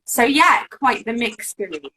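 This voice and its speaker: A woman's voice: fairly high pitch, very animated, unhurried, pushed and bright, poor audio. A woman from Wales, a guest on a live video-call interview, talking casually with many fillers and restarts. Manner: chatty, lots of fillers and restarts.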